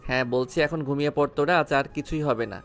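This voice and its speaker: A man's voice: on the high side, fairly quick, faint music behind, natural voice. A man playing a brash, conflicted young gangster in an audio story, curt and commanding, dropping in English words.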